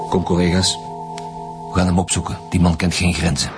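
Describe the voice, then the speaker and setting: An actor with a Flemish accent playing a blunt, authoritative lead police detective in a radio drama, brisk and matter-of-fact. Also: male voice, sits low, flat delivery, music in the background, lightly acted. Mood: probing.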